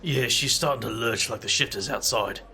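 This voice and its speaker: A man's voice: mid-range voice, moderate pace, bright, forceful tone, soft background music. A man voicing a wry, casual hero. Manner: wry and casual, calls people mate. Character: laid-back male janitor and narrator-hero, wry. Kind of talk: audio drama acting with in-character narration.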